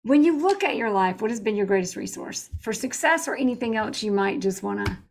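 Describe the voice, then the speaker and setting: A woman's voice: mid-range voice, lively intonation, brisk, gravelly. A woman hosting a podcast interview, chatty and upbeat, eager to share favorite quotes and ask her guest questions. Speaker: podcast host. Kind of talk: casual interview chat.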